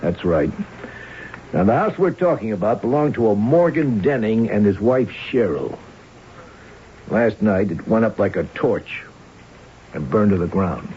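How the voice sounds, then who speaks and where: An actor playing a methodical police arson captain in a 1970s radio drama, putting questions in a clipped, matter-of-fact way. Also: male voice, lowish voice, animated, a little slow, pauses often. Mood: grave, matter-of-fact. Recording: dull, hissy vintage radio broadcast.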